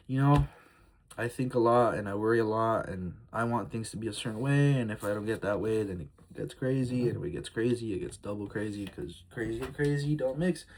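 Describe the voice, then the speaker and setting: A man of twenty-one talking to his phone camera in a candid, rambling vlog, reflective and heartfelt, with frequent fillers. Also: medium pitch. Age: early 20s. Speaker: vlogger. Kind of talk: casual confessional vlog.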